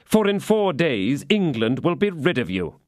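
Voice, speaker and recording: A man's voice: fairly high pitch, sing-song, measured pace, darkish tone, no echo, voice pushed up. Guy doing a sneering vengeful lord, raised voice, mocking and menacing, dry sound. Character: vengeful sneering nobleman, the Baron.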